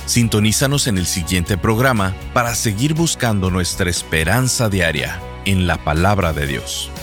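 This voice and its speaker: Male radio announcer, lowish pitch, scripted program tags over light music. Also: moderate pace, fairly bright tone.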